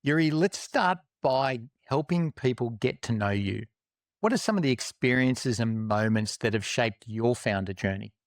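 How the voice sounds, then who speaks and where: A man's voice: mid-range voice, lively intonation, moderate pace, no echo, studio quality. An Australian man hosting a podcast, introducing the show and interviewing a guest in an upbeat, enthusiastic way. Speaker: podcast host.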